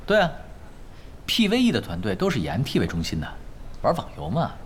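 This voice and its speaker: A man's voice: mid-range voice, huge pitch swings, a little fast, slight background noise. A man playing an easygoing, cheeky young gamer in a Chinese audio drama, speaking in a nonchalant, teasing way. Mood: relaxed explaining. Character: young male gamer tank player, easygoing, cheeky, unbothered.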